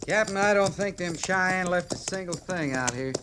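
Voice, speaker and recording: A man's voice: sits high, moderate pace, lightly acted. A man playing a loyal, folksy veteran cavalry sergeant with a rural American accent in an old-time radio western, talking plainly. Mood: uneasy. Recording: noisy old-time radio drama recording.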